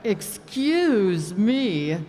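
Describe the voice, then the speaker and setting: A stern, indignant lady passenger in a live radio play, as an actor puts her on. She scolds slowly and deliberately, and her voice rings with the long reverb of a stage hall. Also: medium pitch, big pitch swings, warm tone. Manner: scolding and prim.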